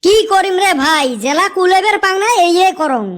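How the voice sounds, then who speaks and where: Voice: very high voice, moderate pace, noisy background. An actor voicing a lazy, wisecracking young village lad in a comedy cartoon, teasing in a Rangpuri accent from northern Bangladesh. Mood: excuse-making. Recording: music behind the voice. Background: music.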